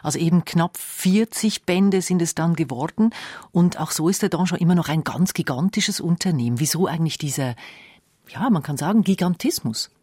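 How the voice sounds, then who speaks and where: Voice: medium pitch, normal speed, darkish tone, breathy edge, no room sound, clean studio sound. A radio host moderating a magazine programme, summing up and putting questions to a colleague.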